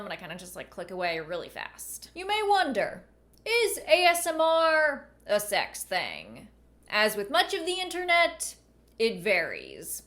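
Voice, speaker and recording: A woman giving conversational video commentary in a wry, deadpan manner. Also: medium pitch. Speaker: video essayist and host.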